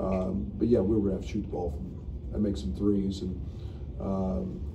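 A middle-aged man, a basketball coach, answering questions at a postgame press conference in a frank, halting way with many fillers. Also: lowish voice, gravelly, recorded from a distance. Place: press room at a table. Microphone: table mic, recorded from the room. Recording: echoey room, picked up from afar, noisy.

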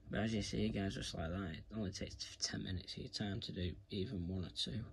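Deep-voiced British man, casual how-to. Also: fairly quick, vocal fry. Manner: informal, rambling, repetitive. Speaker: video presenter giving a how-to demonstration. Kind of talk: casual hands-on tutorial. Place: indoors at home, carpeted room. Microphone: phone camera filming his hands. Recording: noisy home phone recording.

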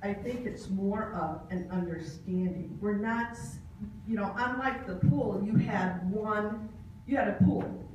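A woman's voice: mid-range voice, unhurried, a little mellow, muffled recording, a little hiss or hum. A middle-aged woman on a committee, answering audience questions at a town hall and explaining the plan. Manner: explanatory, repeats key questions. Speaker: committee member presenting. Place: large meeting room, indoors. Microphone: handheld mic. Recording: echoey hall, taped from far off.

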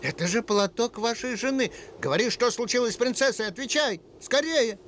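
A man voicing an anxious, loyal animal servant in a cartoon, fretful and deferential toward his gentleman. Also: very high-pitched, normal speed, slight background noise. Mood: alarmed. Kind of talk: dubbed cartoon acting.

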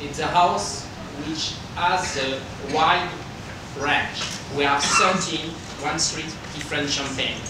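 French-accented man presenting in an echoey room. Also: a little high. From France. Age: middle-aged. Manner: halting non-native English with simple phrasing. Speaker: winemaker presenting a tasting. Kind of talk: informal presentation to a group. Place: indoor hotel function room. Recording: roomy, distant microphone with background noise.

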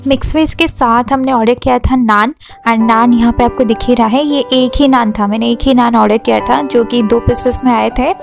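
A young woman with a high voice gives a chatty food vlog review, slipping English words in and pausing often on "uh". Music plays clearly beneath her voice. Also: fairly even intonation. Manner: chatty, mixes English words into Hindi, frequent "uh" pauses. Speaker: food vlogger. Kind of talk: casual restaurant review voice-over. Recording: music playing under the voice.

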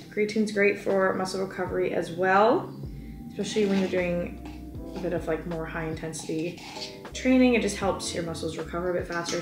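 A woman's voice: mid-range voice, noisy background. A young woman in her twenties chatting upbeat to camera in a casual vlog, with lots of filler words. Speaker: fitness vlogger. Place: home kitchen and living room. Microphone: camera on counter, speaking from a few feet away. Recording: roomy apartment sound with music underneath.

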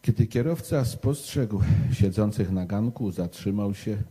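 A man telling a bedtime parable and short homily to young people in a measured, deliberate way, like a preacher. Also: fairly low pitch, warm tone, husky. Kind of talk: storytelling and short homily to a youth gathering.

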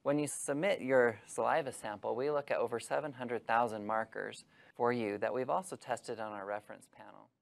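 Male scientist presenter, 30s, studio explainer, fry in the voice. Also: medium pitch, fairly even intonation, fairly quick. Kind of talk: scripted explainer. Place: studio set.

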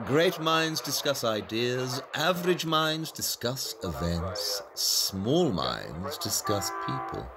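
A man's voice: medium pitch, very animated, slow pace, few pauses, crowd noise. A man playing an aloof, cerebral master detective in an audio play, speaking in a pompous, wry and clipped manner. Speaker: actor. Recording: noisy background.

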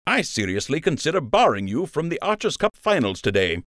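A man's voice: on the high side, big pitch swings, brisk, a bit bright, dry recording, clean studio sound, voice pushed up. A man voicing an angry, scornful rabbit officer in an audiobook, stern and sarcastic as he harangues. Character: angry, scornful rabbit lieutenant berating a young soldier.

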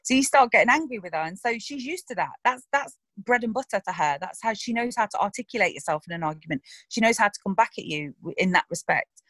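A young woman chats about her acting role in a lively, expressive way, slipping into little voices when she quotes someone. Her voice sits in a middle range. The sound is dry and close, with no room in it. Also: medium pitch, quick pace, no echo, low-quality recording. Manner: animated, acts out quoted lines, laughs. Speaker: actress interviewed about her characters. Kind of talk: casual interview chat.

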